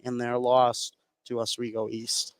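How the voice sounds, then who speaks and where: A man's voice: medium pitch, monotone, a little slow. A man working as color commentator, giving conversational analysis during a live basketball game. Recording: noisy live gym broadcast.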